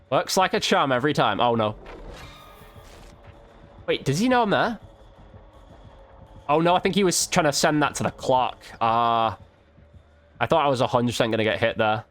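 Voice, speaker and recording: A man's voice: fairly high pitch, a bit bright. A man giving casual, reactive running commentary while playing a video game. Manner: reactive, exclamatory, slangy. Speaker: video game streamer, YouTube host. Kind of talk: live gameplay commentary, casual chat.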